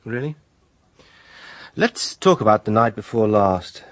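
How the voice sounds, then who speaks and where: An actor playing a self-assured British defence barrister in a radio drama, husky, smooth-talking and probing. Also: male voice, fairly low pitch, very animated, measured pace. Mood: courteous, probing.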